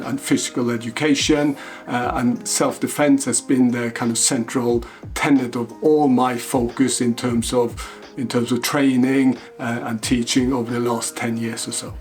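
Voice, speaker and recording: A middle-aged man from Norway, a Krav Maga instructor, commenting on fight scenes in an articulate, measured way with fillers. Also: mid-range voice, steady tone, soft background music. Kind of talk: reacting to film fight scenes.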